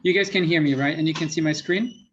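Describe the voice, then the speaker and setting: A man with a French accent, a native French speaker, giving an online science presentation with many fillers and pauses. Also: on the high side, fairly bright tone, a little hiss or hum. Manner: thoughtful, self-deprecating, many fillers. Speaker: guest lecturer. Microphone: computer mic on a video call. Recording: muffled lo-fi call audio.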